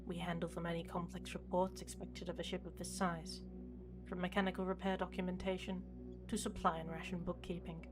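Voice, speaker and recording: Casting: woman as a dutiful, anxious starship prefect, lowish and very even in tone, formal audio-drama delivery. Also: close to an everyday voice. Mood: measured, official. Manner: formal, measured, official. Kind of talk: scripted sci-fi audio drama log.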